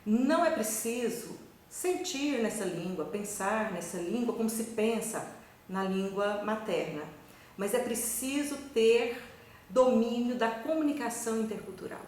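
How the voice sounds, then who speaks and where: A professor with a Brazilian accent speaks in a calm, measured way, asking one rhetorical question after another. She is a woman of middle pitch, and her voice sounds far from the mic in a very echoey room with a faint hiss behind it. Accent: Brazilian Portuguese. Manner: measured, reflective, poses many rhetorical questions. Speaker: university professor. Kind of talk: recorded talk to a conference.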